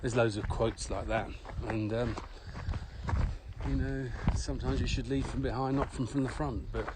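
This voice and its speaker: Male podcast co-host, middle-aged, mid-pitched, opinionated conversational delivery, noisy recording. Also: steady tone, moderate pace. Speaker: podcast co-host and management adviser. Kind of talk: casual podcast discussion. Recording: clearly noisy background with clicks.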